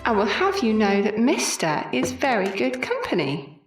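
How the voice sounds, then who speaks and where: An actress playing a young, sardonic Victorian nurse with a wry, chatty manner in an audio drama. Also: female voice, a little high, big pitch swings, slightly rough, faint music behind, poor audio. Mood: exasperated. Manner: wry, conversational, quick to grumble.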